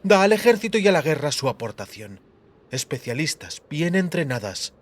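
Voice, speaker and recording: A man voicing a stern, sarcastic high priestess who speaks brusquely and with authority. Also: very animated, normal speed. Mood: firm, lecturing.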